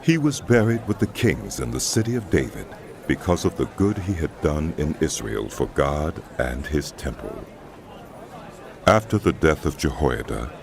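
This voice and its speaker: A man narrating a dramatized audio Bible in a solemn, measured storytelling style. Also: extremely low pitch, noisy background. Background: background music.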